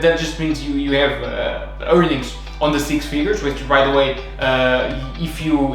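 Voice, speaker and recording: A man's voice: mid-range voice, a bit bright. A man with a thick Portuguese accent, talking casually and confidently as a podcast host, with many fillers and restarts. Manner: chatty, self-assured, many fillers and restarts. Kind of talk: casual talk to camera. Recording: very echoey room, faint music.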